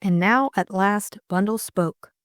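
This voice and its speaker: A woman's voice: mid-range voice, slow, no echo, clean studio sound. A woman narrating a scripted mystery story as an audiobook reader.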